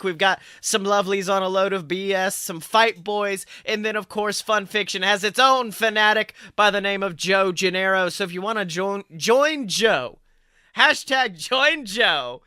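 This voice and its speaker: A man with a high, bright voice hosts a casual podcast. He talks in playful, slangy banter, recorded close and dry with no room sound. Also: clear, resonant tone, no room sound. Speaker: podcast host.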